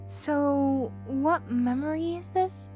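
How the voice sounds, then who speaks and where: A woman voicing a reserved young female pony who speaks in a blunt, formal, deadpan way in an audio drama. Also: sits high, takes it slowly, soft background music. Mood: dry curiosity. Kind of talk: acted dialogue in a fan-made audio drama.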